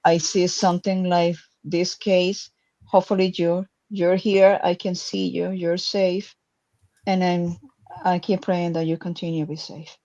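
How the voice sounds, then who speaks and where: Mellow, slow non-native English-speaking woman, muffled audio. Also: on the low side, level tone. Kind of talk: casual, earnest talk.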